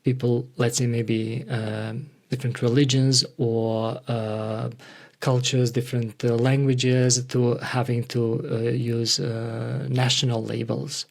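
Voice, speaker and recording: Guy hosting a thoughtful interview podcast, halting, keeps searching for his words, mid-pitched voice. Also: flat delivery, vocal fry. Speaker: podcast host interviewing a guest. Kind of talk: reflective conversational interview.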